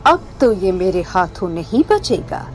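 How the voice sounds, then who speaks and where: A woman voicing a vengeful female witch in a horror cartoon story, with slow, drawn-out, commanding threats. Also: medium pitch, big pitch swings. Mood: gloating, menacing. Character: menacing vengeful village witch, female ghoul hunting young men.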